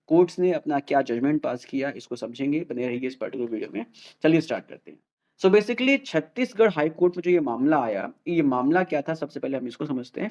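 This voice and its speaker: A man's voice: on the high side, darkish tone, dull audio. An Indian man presenting an explainer in a didactic way, repeating key points and mixing Hindi with English. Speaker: host of a legal explainer channel.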